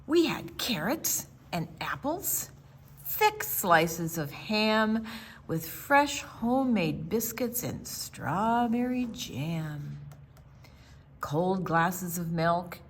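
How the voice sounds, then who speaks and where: A woman reading a rhyming children's picture book aloud in an expressive, theatrical storytime style, with dramatic pauses and emphasis. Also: lowish voice, breathy edge.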